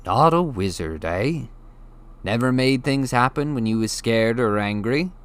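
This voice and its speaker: A man voicing a big, gruff but kindly giant in an audiobook, with folksy, ungrammatical and emphatic speech. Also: medium pitch, expressive, measured pace, natural voice. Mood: amused. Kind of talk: audiobook character voice.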